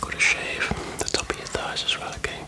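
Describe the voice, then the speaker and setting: A man in his thirties whispering softly in an encouraging, matey way in an ASMR roleplay, close to the mic. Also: brisk. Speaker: ASMR creator playing a bodybuilding prep helper. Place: block-walled garage gym with metal roof.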